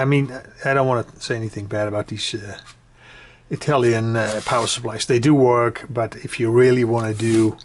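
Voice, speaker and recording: A man giving a casual, unscripted hobby tutorial, conversational and hesitant, often pausing mid-sentence. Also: lowish voice, slight background noise. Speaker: hobbyist demonstrating a build. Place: indoors at home.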